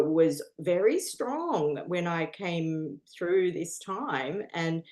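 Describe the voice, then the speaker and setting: A woman's voice: deep voice, a little mellow. An Australian woman, a guest in a casual interview, talking earnestly with lots of fillers and frequent laughter.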